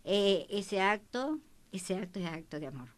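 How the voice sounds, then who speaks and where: A woman's voice: medium pitch, expressive, a little slow. An Argentine woman in a conversational discussion, insistent and repeating her phrases for emphasis.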